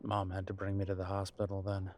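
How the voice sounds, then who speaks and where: A male actor as a gentle, nervous boyfriend in an audio drama, with a fairly low voice. He speaks intimately and haltingly, trying to reassure while sounding unsure himself. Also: monotone, natural voice. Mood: reflective, uneasy. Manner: hesitant, halting, sighing delivery with soft chuckles. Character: nervous, reassuring grown son visiting his mother, affectionate boyfriend. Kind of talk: intimate audio roleplay acting.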